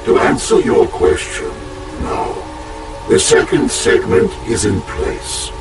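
Evil computer mind, deep robotic rasp, imperious, deliberate, distant, video game villain. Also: male voice, wildly swooping pitch, heavy background noise, heavily acted. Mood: menacing, gloating. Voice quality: deep, gravelly. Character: cold, menacing rogue artificial intelligence with contempt for humans. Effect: robotic processed voice. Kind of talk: video game cutscene acting.

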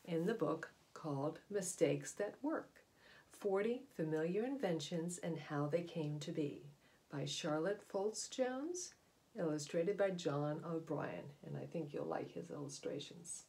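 A middle-aged woman reads a children's nonfiction book aloud with deliberate, expressive emphasis and explanatory asides, recorded indoors on a device at about arm's length. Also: low-pitched. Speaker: read-aloud storyteller. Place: small indoor room at home, in front of wooden doors. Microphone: camera or device mic at about arm's length.

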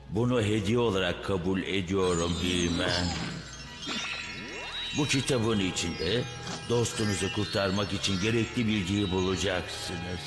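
A man voicing an old, proud, imperious dragon in a dubbed cartoon. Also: low voice. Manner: imperious, weighty. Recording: cavernous echo with music behind.

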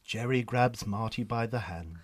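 A man's voice: lowish voice, normal speed. A man with a Northern Irish accent narrating a scripted radio drama.

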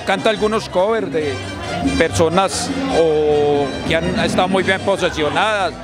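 High-voiced Antioquian man. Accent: Colombian Spanish, Antioquia. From Antioquia, Colombia. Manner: earnest, chatty, with frequent restarts. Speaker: singer and songwriter. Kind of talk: casual interview chat. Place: outdoors at a busy urban gathering. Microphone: handheld mic held by interviewer. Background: crowd chatter and loud music.

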